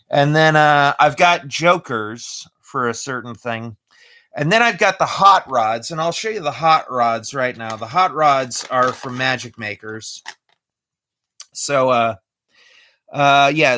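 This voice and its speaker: A man's voice: mid-range voice, bright, forceful tone, dry recording. A man chatting casually on a live stream, explaining his magic tricks step by step. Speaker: amateur magician.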